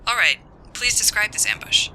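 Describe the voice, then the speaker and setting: Brisk, bright-voiced emergency operator. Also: female voice, mid-range voice, expressive, slight background noise, low-quality recording. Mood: questioning. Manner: clipped, official, procedural. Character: brisk, businesslike female police emergency operator. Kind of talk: audio drama dialogue.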